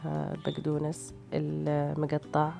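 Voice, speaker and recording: A woman's voice: sits low, monotone, moderate pace, gravelly, dark tone. A woman with a tired voice, narrating recipes as a cooking host in a casual, hesitant way. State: tired, strained voice. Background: background music.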